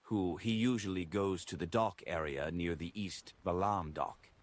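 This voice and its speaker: A man's voice: low-pitched, measured pace. A man voicing a rambling, droning drunkard in an audiobook, sighing over a poor friend. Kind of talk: audiobook character dialogue.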